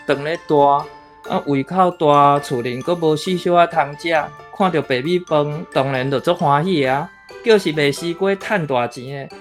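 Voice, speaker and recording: A man's voice: a little high, natural voice. A man with a Taiwanese accent playing a good-humoured, self-justifying older brother in a radio drama. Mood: sheepish. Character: good-humoured, aggrieved adult brother reminiscing.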